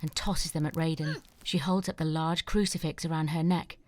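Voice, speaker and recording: Low-voiced woman reading stage directions. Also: fairly even intonation, normal speed, darkish tone, dry recording. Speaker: narrator.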